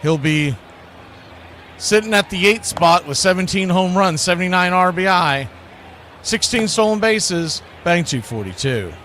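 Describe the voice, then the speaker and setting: A man's voice: high-pitched, expressive, a little slow, a bit bright, a little hiss or hum. A man announcing sports and sponsor spots in a showy, folksy radio play-by-play style. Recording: music bed under the voice. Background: music.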